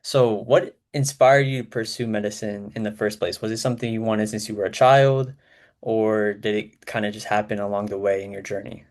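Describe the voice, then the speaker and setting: A man hosting a podcast, introducing his guest and asking plain, measured questions. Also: fairly low pitch, little pitch movement, darkish tone. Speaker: podcast host. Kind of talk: interview questions.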